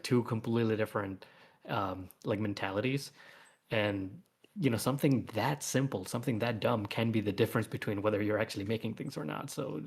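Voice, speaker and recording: A man chatting casually and reflectively on a livestream, feeling his way through his thoughts in a halting manner. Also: lowish voice. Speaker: artist and voice actor guest on a livestream.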